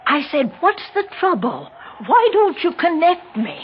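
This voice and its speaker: A muffled 1960s radio drama recording carries an elderly American mother from the country. She is chatty and bossy, with a high pitch that swoops up and down. Her manner is folksy, fussy and slyly theatrical. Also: wildly swooping pitch, a little slow, slight background noise, exaggerated voice. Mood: bossy, impatient. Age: older.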